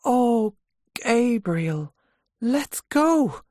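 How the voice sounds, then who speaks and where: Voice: mid-range voice, sing-song, very slow, darkish tone, dry recording, clean studio sound, pitched above the performer's own voice. Narrator as an eager, coaxing wife. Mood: excited. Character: eager, warm, mildly teasing wife.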